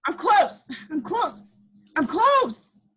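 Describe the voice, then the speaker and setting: A woman's voice: very high voice, sing-song, very slow, fairly bright tone, exaggerated voice. A woman acting a frantic, strained control-room technician under siege in an amateur screenplay table read. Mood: frantic urgency. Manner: urgent, strained.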